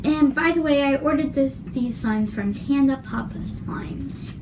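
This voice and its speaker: A young woman chats slowly about slime in a relaxed, apologetic way. Her voice sounds worn, like she is losing it. Her pitch sits a little high, and loud steady noise runs under the recording. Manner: apologetic, chatty, drawn-out words. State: losing her voice, clearing her throat. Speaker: slime reviewer. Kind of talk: casual product review vlog.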